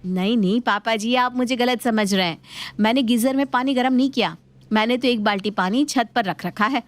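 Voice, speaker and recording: A cheeky young Indian daughter-in-law in a cartoon moral tale, played by a woman. Her voice sits a little high and she explains with polite, mock innocence. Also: fairly quick, no room sound, lightly acted. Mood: mock-innocent. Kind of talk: Hindi animated moral story acting.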